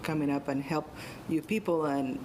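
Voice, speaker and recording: A woman speaking off the cuff as a guest doctor in an interview, in a halting, devout manner. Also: low-quality recording. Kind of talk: unscripted interview remarks. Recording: loud rumbling background noise.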